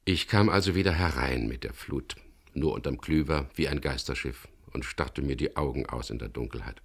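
An actor playing a wry, weary boat skipper who narrates his adventure in a dry, measured way in a German crime radio play. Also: very deep voice, creaky, heavily acted. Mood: calm, reflective. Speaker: first-person narrator and lead character.

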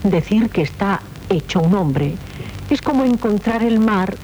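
An actress playing a witty, haughty princess in 1970s radio theatre, speaking in an ironic, mischievous way. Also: female voice, lively intonation, unhurried, warm tone, lightly acted. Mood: haughty, amused. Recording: faintly noisy, rumbly 1970s radio recording.

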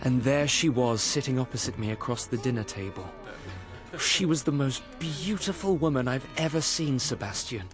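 A man's voice: mid-range voice, lively intonation, normal speed, close to an everyday voice. An actor playing an earnest, impulsive young Englishman, well-spoken and emotional, in a radio drama. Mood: smitten, excited. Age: young adult. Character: earnest, lovestruck, restless young Englishman, aspiring composer. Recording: music under the voice.

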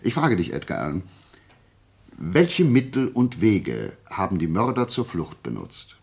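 An actor playing a methodical, self-assured amateur detective in a radio play, gravelly and husky, explaining with deliberate, didactic emphasis. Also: male voice, sits low, wildly swooping pitch, a little mellow, full character voice. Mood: assured, analytical.

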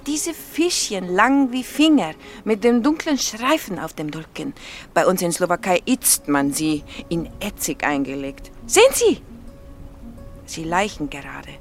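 Casting: direct, teasing young Slovak biologist in audio drama; Slovak accent, very lively pitch, unhurried. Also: female voice, faint music behind. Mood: eager. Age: young adult. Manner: blunt, teasing, quirky non-native phrasing. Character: direct, playful young Slovak woman, freshwater biology doctoral student.

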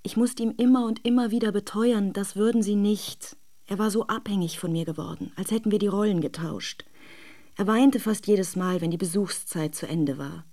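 An actress playing a wistful, lovelorn wife in a radio drama, confiding intimately in a breathy voice that often nears a whisper. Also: female voice, lowish voice, dark tone. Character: wistful, devoted wife secretly longing for absent lover. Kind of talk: literary radio drama monologue.

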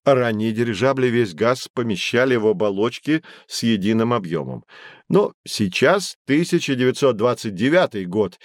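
An actor playing a knowledgeable man who guides children through an educational audio drama, explaining things in a clear didactic way. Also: fairly low pitch, no room sound, clean studio sound, close to an everyday voice. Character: knowledgeable male teacher guiding children on adventures. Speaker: guide explaining history and technology.

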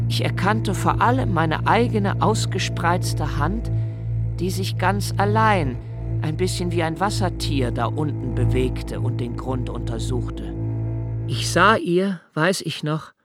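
A middle-aged man giving a quiet, measured, inward first-person narration in a literary radio play, recorded in a studio. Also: fairly high pitch.

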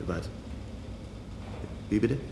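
An actor playing a friendly, blunt laboratory colleague in a German radio play, speaking colloquially. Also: male voice, very slow, dark tone, roomy sound, slight background noise. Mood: confiding.

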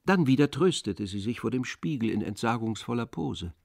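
A man's voice: mid-range voice, animated, moderate pace, creaky, a little mellow, no echo, studio quality. A man narrating a literary radio play from a script.

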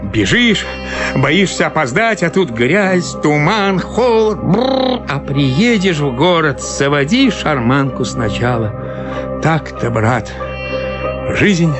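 Harried, highly animated husband. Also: male voice, takes it slowly, music in the background. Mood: weary grumbling. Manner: theatrical complaining, sighing, swinging from confiding mutter to frantic outcry. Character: harried, self-pitying henpecked dacha husband, on the edge of madness. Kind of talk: radio play acting of a comic short story.

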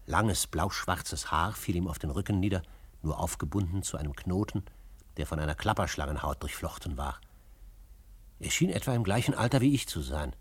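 A reflective narrator recalling Western adventures for radio drama. His voice is very low and husky, in a scripted storytelling style.

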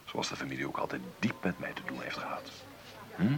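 An actor in a radio play as a wealthy, bitter middle-aged businessman, gravelly, sardonic and condescending in a deliberate way. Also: rumbling low, very animated, a crowd in the background. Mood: bitter, scornful. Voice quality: gravelly, creaky.

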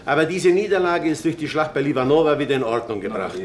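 An actor playing a genial, courteous high-society gentleman with formal manners in a radio drama. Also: animated, fast talker, slight background noise, natural voice. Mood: matter-of-fact. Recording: busy crowd around the voice. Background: crowd of reception guests.

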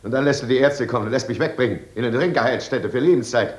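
An actor playing a bitter, defiant drunkard husband, agitated and volatile, in a 1950s radio drama. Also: male voice, medium pitch, fast talker, highs cut off, close to an everyday voice.

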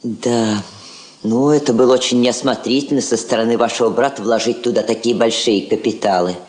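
An actress playing a composed, dignified female ambassador, measured and courteous with dry authority, in stage-style radio drama. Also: a bass voice, steady tone, a little mellow. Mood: cool, dry. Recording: echoey old studio drama recording.

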